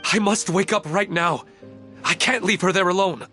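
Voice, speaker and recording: A man's voice: high voice, normal speed, sharp tone, faint music behind. A man voicing an anxious, dazed father in a video game, speaking hesitantly and with a troubled manner. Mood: alarmed, determined. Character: anxious, dazed father searching his memory for his daughter.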